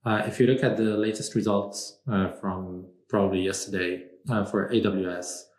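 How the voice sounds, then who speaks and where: A man originally from Morocco, speaking as a podcast guest in a measured, thoughtful way with frequent pauses. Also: lowish voice, fairly even intonation, reverberant. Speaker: podcast guest, strategy consultant. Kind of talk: conversational interview.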